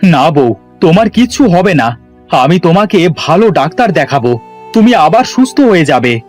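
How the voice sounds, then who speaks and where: A man's voice: a little high, moderate pace, faint music behind. A man playing a village farmer, a grumbling but doting husband and father, in a dramatic cartoon style. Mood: worried. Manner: dramatic, expressive. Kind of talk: cartoon story character acting.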